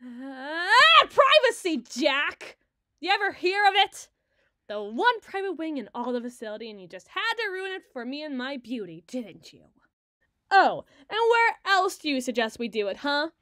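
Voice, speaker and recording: A woman plays a quick-witted female secret agent with a fairly high voice. She is sardonic and bossy, spinning wordy, teasing lines in an ASMR audio drama. The sound is very dry, with no room around it. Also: very animated, sharp tone, no room sound, heavily acted. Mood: brash, mock outraged. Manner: wry, wordy, teasing, flustered when challenged.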